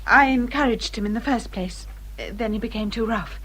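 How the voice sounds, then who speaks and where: An actress playing a gentle, self-effacing young gentlewoman in a period drama, with an English accent and a polite, restrained manner. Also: on the high side, fairly quick, husky, rough recording. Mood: shy, hesitant. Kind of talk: British period radio drama acting.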